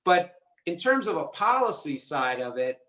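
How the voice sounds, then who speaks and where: Guy rambling through a question, lots of you knows, higher voice, echoey room. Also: big pitch swings, moderate pace, sharp tone. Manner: rambling, hesitant, full of "you know" and restarts. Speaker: audience member asking a question.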